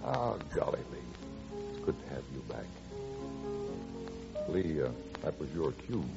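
An actor playing an easygoing, wry middle-aged farmer and suitor with an American accent in a classic radio drama. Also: male voice, extremely low pitch, big pitch swings, a little slow, gravelly, over music, heavily acted. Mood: warm, persistent. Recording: dull lo-fi old radio recording.

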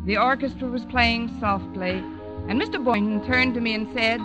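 A woman's voice: fairly high pitch, normal speed, music in the background, lightly acted, low-quality recording. An actress playing a dry, wisecracking female schoolteacher who also narrates, in a 1940s radio comedy on a vintage recording. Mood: dry, amused. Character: wry, quick-witted female schoolteacher.

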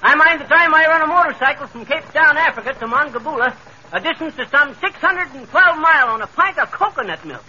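An actor playing a boastful, folksy American tall-tale teller in 1930s radio comedy, with rustic grammar. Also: male voice, very high-pitched, expressive, a little fast, pushed and bright, full character voice. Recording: dull, faintly noisy old radio recording.

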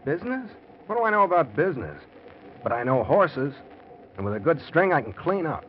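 An actor playing a forty-year-old gambler and pulp writer in a 1940s radio drama, wry and hard-boiled. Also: male voice, a little high, big pitch swings, a little fast. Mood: incredulous arguing. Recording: noisy muffled old radio recording.

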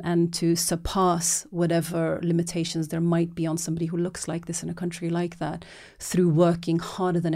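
Woman, 40s, Norwegian-raised filmmaker; low, warm, earnest, halting with restarts. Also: creaky. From Norway. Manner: earnest, with many repeated words and restarts. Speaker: documentary filmmaker. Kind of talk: long reflective interview answers.

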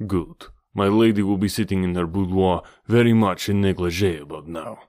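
A man voicing a suave, scheming villain who gloats in a deliberate, theatrical way in an audiobook. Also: deep voice, darkish tone, no room sound, clean studio sound, close to an everyday voice. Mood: smug, gloating. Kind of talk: audiobook character dialogue.

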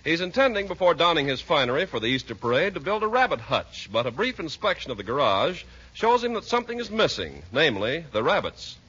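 A man's voice: on the high side, big pitch swings, fairly quick, pushed and bright, breathy edge. A man narrating as a 1940s radio announcer in a grandiose, droll manner. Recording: muffled old radio recording with music.

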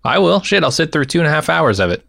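A man's voice: a little high, very animated, fast, gravelly, a bit bright, dry recording. A man, co-hosting a podcast and giving his opinions in casual chat. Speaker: podcast co-host.

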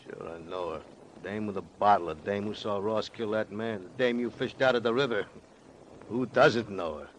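A man's voice: on the low side, moderate pace, natural voice. Sneering crook played by a man, old noisy recording. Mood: sardonic. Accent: American. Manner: slangy, sardonic, insinuating. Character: sneering, crooked male tough guy, a cornered killer. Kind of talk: 1940s-50s radio crime drama acting. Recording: noisy vintage radio recording.

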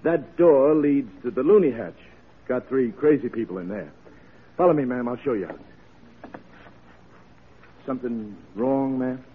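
An actor playing a stubborn, dismissive prison jailer in an old-time radio drama, with a brusque, colloquial way of talking. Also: male voice, medium pitch, measured pace, long pauses, slightly rough. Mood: folksy, obliging. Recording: muffled, noisy vintage radio recording.

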